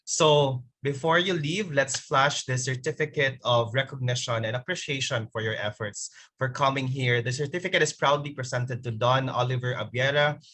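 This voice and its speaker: Male webinar host, bright forceful voice, upbeat, formal. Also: medium pitch, moderate pace, hardly any pauses. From Philippines. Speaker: host, founder of the organizing group. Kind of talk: webinar hosting.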